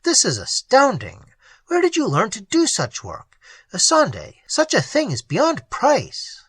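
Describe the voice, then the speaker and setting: A reader voicing a startled young man who stammers and blurts out his words excitedly. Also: medium pitch, slow pace, slightly rough, no echo, voice pushed up, full character voice. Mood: flustered, amazed. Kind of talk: audiobook dialogue.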